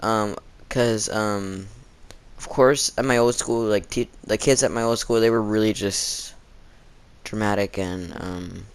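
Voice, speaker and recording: Halting teenage boy storyteller with sore throat. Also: on the low side, fairly even intonation, clean tone. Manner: halting, informal, slangy, dramatizing quotes. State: sore throat, frequent throat clearing. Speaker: video storyteller. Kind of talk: casual rambling storytime.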